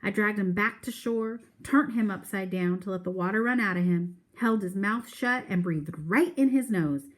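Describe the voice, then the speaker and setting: A woman's voice: mid-range voice, normal speed, hardly any pauses, lightly acted. A proud old Southern country man , read by a woman, spins a folksy yarn. The telling is drawn out and earnest, in storybook read-aloud style. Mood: earnest storytelling.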